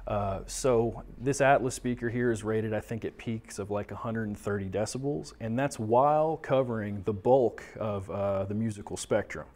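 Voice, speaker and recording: A man's voice: fairly low pitch, moderate pace, hardly any pauses, darkish tone. An American man in his thirties presenting a casual, conversational product explainer, often posing questions and then answering them. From Texas, United States. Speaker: tech talk presenter explaining audio products. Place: small indoor studio office, seated or standing at a table.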